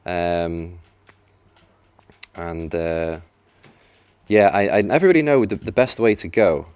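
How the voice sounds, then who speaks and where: A man's voice: deep voice, takes it slowly, a little mellow, smooth, no room sound. A man commentating a live racing video game match, chatty and explaining tactics. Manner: chatty, explanatory, some restarts. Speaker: esports commentator. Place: event venue.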